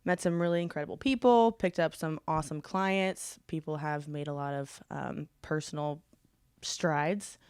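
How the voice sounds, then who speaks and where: A young woman co-hosting a fitness podcast, chatting casually, often laughing and pausing on "um". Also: low voice, a little mellow, smooth, no echo, clean studio sound. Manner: giggly, hesitant with many ums. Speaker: podcast co-host, fitness coach. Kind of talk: casual chat.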